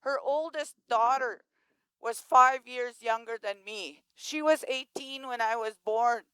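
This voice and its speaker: A woman's voice: on the high side, unhurried, bright, forceful tone, smooth, no room sound, poor audio. A woman from Manitoba speaking plainly and steadily to an audience about her family. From Manitoba, Canada. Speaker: speaker at a community event. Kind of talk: personal remarks to an audience.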